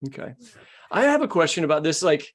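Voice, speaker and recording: A man's voice: fairly high pitch, sing-song, brisk. An American man hosting a podcast, talking in a conversational, rambling, emphatic way as he works through his questions. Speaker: podcast host.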